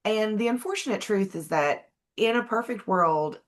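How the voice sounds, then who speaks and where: A woman with a Southern American accent, speaking earnestly and in a measured way in a casual conversation. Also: mid-range voice, expressive. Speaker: co-host, advocate.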